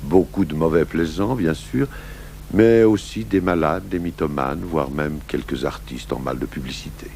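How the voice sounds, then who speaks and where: An actor playing a police commissioner who narrates his case in a radio drama, measured, dry and gravelly. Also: rumbling low, a little mellow. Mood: dry, reflective. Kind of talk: scripted first-person radio drama narration. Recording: hummy, noisy old radio broadcast.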